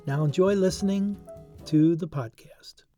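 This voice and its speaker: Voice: mid-range voice, measured pace. A podcast host reading a scripted introduction. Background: plucked guitar music.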